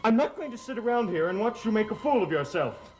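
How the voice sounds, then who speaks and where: Bitter young son, music behind. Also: male voice, high voice, very animated, fast talker, a little mellow. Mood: angry. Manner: pressing, accusatory questioning. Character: bitter, protective, hot-tempered grown son. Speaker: actor in a TV drama. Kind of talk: melodramatic gothic soap opera acting. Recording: old TV soundtrack with music behind.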